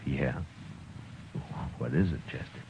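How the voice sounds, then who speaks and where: An American actor playing a battered, gruff but loyal frontier lawman in a halting manner in a 1950s radio western. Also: very deep voice, mellow, heavily acted. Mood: gruff, weary. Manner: halting, repeats words. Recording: muffled, noisy 1950s radio recording.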